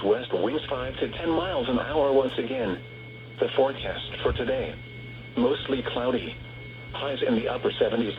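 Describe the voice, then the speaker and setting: A synthetic male voice reads a scripted weather bulletin as a radio announcer, in a measured, formal and impersonal way. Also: medium pitch. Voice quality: synthetic text-to-speech voice. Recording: muffled noisy weather radio broadcast.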